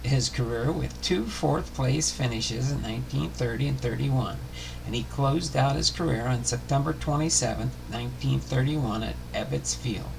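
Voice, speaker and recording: A man reading a scripted sports history narration aloud, haltingly, often stumbling and correcting himself. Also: medium pitch, vocal fry, background noise. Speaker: host of a sports history show. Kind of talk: scripted narration read aloud, baseball biography.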